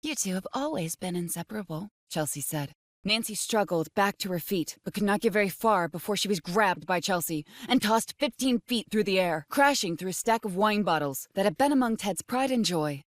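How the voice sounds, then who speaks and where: A woman's voice: mid-range voice, lively intonation, moderate pace, no room sound, studio quality. A woman narrating a dramatic scripted horror audiobook.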